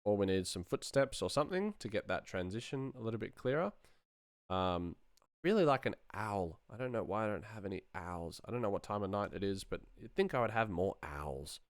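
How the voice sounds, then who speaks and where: Casting: low-pitched, animated sound designer for casual workflow commentary, playful self-muttering, very dry studio sound. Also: a little fast, no room sound. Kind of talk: casual screen-share workflow commentary, thinking aloud.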